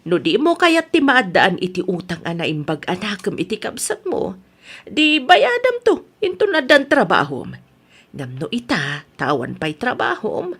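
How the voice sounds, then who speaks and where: A woman's voice: medium pitch, sing-song, unhurried, a bit bright, slightly rough, heavily acted. An actress with an Ilocano accent playing a concerned, insistent mother of a grown son in a radio drama.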